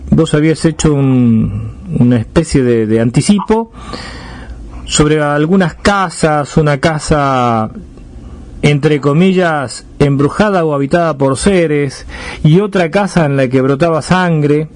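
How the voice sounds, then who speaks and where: A man's voice: fairly high pitch, slow pace, darkish tone. A man hosting a radio interview. He speaks conversationally and probes with follow-up questions. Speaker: radio host.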